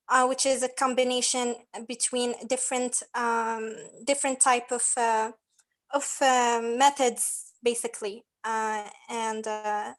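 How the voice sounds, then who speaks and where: A woman from Morocco speaks up in an online teacher-training class, pausing often with ums and uhs as she works out her answer. Her voice is fairly high but stays flat in tone. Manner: hesitant, with many filler sounds.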